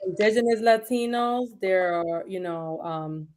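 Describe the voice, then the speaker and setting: A woman's voice: medium pitch, unhurried, darkish tone. A woman from New York speaking as a panel guest, thoughtful and full of fillers like "um" and "like". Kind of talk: casual discussion.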